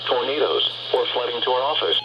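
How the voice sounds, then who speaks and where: A man's voice: medium pitch, lively intonation, a little fast. A man reading a formal scripted forecast as a weather radio announcer, stiff and impersonal. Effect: radio broadcast. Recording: dull, very noisy weather radio playback.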